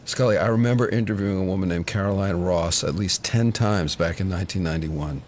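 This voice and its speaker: An actor playing a troubled federal agent in an audio drama, confessing in a halting, subdued way with guilt and wry defensiveness. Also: male voice, low voice, a little mellow, slight background noise, lightly acted. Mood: guilty, haunted. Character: troubled male FBI agent, haunted and guilty, wryly defensive. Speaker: FBI special agent.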